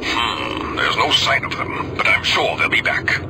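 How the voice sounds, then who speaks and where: A man voicing a steady, protective space pilot who speaks in a calm, formal way over radio chatter in a game. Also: mid-range voice, a little slow, very noisy. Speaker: wingman ally in a space game.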